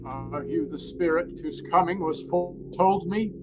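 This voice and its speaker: An actor playing a grumpy, frightened old miser in a radio drama, stammering and exclaiming. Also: male voice, on the high side, unhurried, music in the background, close to an everyday voice. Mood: wary, uneasy. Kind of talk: theatrical radio drama acting. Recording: dull lo-fi sound with noise.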